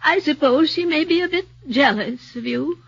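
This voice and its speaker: An actress playing a fond, doting elderly wealthy woman who pleads gently, in 1940s radio acting. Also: high voice, moderate pace, creaky, no room sound. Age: older. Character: fond elderly rich spinster. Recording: dull 1940s radio broadcast.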